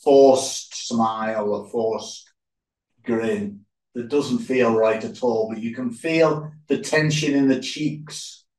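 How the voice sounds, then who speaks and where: Male yoga instructor, calm guided relaxation, very slow delivery with pauses, distant and reverberant room sound. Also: mid-range voice. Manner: calm, unhurried, short phrases with pauses. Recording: roomy echo, distant microphone.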